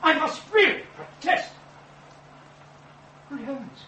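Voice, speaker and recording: A sharp, high male voice an indignant scholar in a period ghost drama. He is pompous and formal, with lively swings of pitch and exasperation. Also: slow pace, reverberant, distant mic, slight background noise, full character voice.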